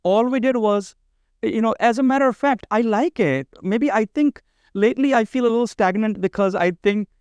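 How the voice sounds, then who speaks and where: A man's voice: high voice, moderate pace, a little mellow, clean tone, no room sound. A man talking casually as an interview guest, earnest and often repeating his phrases. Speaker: podcast guest, bridal expo organizer.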